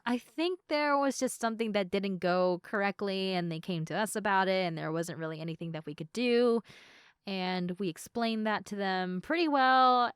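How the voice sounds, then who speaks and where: A woman playing a sleepy, affectionate girlfriend in an intimate ASMR roleplay, chatting softly. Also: mid-range voice, clear, resonant tone, no echo, studio quality, natural voice.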